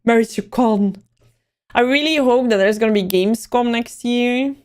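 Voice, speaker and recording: A young woman from Belgium, her mother tongue, with a lilt to her English. Her voice sits a touch high, and she chats loosely on a livestream, wandering from thought to thought as she answers her viewers. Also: normal speed, clean tone. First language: Dutch. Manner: chatty, rambling, answering chat messages. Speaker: livestream host. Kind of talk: casual livestream chat.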